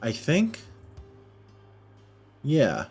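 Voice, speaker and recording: A man giving casual gameplay commentary, thinking out loud in short, halting phrases. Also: mid-range voice, huge pitch swings, creaky. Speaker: let's play host. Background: music.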